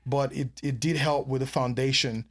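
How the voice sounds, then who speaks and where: A man's voice: mid-range voice, fairly even intonation, normal speed. A man from Nigeria, a guest in a casual interview, speaking reflectively with frequent ums. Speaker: podcast guest.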